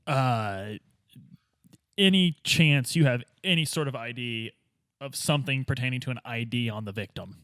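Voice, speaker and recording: A man's voice: medium pitch, normal speed, a bit bright, clean tone, no echo, clean studio sound. A man playing a tabletop game, chatting and improvising casually in an informal, bantering way. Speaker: tabletop player. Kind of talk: casual improvised roleplay chat.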